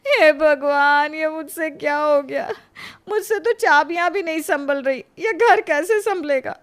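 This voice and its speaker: A woman's voice: high voice, measured pace. A woman voicing a manipulative, tearful elder daughter-in-law in a moral cartoon story, wailing with self-pity. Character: whiny, manipulative elder daughter-in-law who fakes crying.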